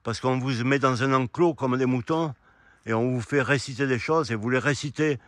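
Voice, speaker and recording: A man delivers an off-the-cuff opinion monologue in a medium-pitched voice. He is indignant and argumentative, addressing the listener head-on and pausing to search for words. The sound is dry, with hardly any echo. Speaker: amateur video commentator. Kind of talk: rambling opinion monologue to camera. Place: quiet spot outdoors.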